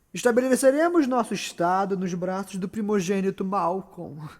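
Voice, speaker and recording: A man's voice: high-pitched, darkish tone. A man with a Brazilian accent playing a grateful, majestic king in Shakespearean theatre, proclaiming grandly and effusively. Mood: proclaiming, regal. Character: grateful, majestic king addressing his nobles. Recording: reverberant room with long echo.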